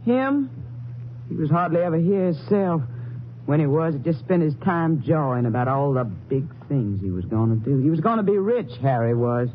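An actress playing a hard-bitten, resentful rancher's wife in a 1950s radio western, curt and complaining, with rough rural grammar. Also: female voice, sits low, expressive, normal speed. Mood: bitter, scornful. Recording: tinny, noisy 1950s radio recording.

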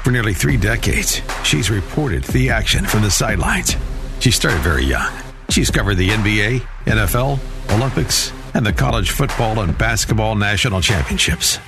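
A man's voice: lowish voice, animated, normal speed, vocal fry. A man reading a scripted show intro with dramatic announcer delivery. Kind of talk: scripted promo voice-over. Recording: voice-over over loud music.

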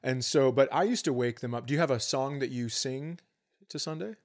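A man's voice: medium pitch, a little fast. Casting: middle-aged male podcast comic, mid-range voice, casual storyteller who swears freely. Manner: profane, storytelling. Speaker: podcast co-host and comedian. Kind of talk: casual comedic chat.

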